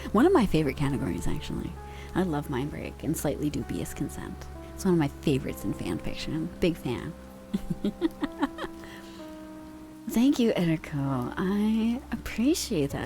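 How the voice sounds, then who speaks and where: A woman's voice: sits low, very animated, a little slow, long pauses, over music. A young woman streamer chatting casually with her viewers in a slangy, laid-back way, using pet names, on a close microphone.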